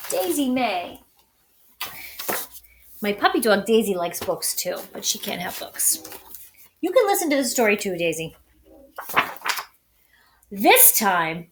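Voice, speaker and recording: Slow, very animated woman reading a storybook. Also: medium pitch, slight background noise. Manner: addresses young listeners, dramatic word emphasis. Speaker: storybook reader for children. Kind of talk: expressive children's read-aloud.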